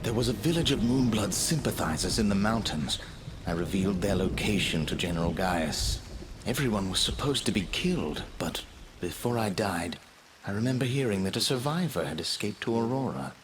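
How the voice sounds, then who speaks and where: A man voicing the sorrowful ghost of a baron in a video game, speaking slowly and formally. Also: lowish voice, animated, noisy background, natural voice. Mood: grave, weary. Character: remorseful ghost of a male baron. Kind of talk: dramatic video game voice acting. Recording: loud music and rain behind. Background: game music and rain.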